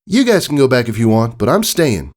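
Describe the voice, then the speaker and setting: Man voicing a high, stubborn young filly pegasus. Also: very animated, fairly quick, darkish tone, natural voice. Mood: determined. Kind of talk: audiobook character voice.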